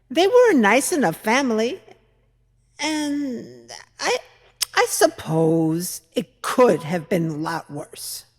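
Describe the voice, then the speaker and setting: A woman playing a fretful, opinionated widowed mother-in-law from biblical times in a confiding, deliberate dramatic monologue. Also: medium pitch, full character voice. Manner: confiding, storytelling, deliberate with rhetorical pauses. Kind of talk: dramatic monologue reading in church. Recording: echoey hall with long reverb.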